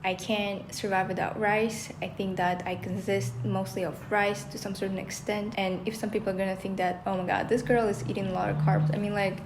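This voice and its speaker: A woman's voice: mid-range voice, level tone, a little fast, few pauses, background noise. A young woman from Russia, a native Russian speaker, chatting casually to camera about food, often saying "like" and "you know". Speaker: vlogger, former chef.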